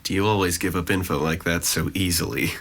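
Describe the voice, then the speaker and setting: A man's voice: sits low, fairly even intonation, a little fast, a bit bright. A man acting a guarded, gloomy young man in an audio drama, with a dry, sarcastic, wordy delivery. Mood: teasing, challenging. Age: young adult.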